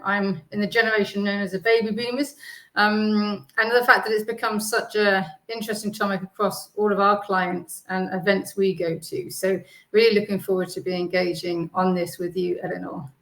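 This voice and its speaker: A woman's voice: medium pitch, steady tone, a bit bright. Older woman hosting a webinar, echoey room. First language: English. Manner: measured, thoughtful, with frequent ums. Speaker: co-CEO and host. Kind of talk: webinar conversation. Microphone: video call.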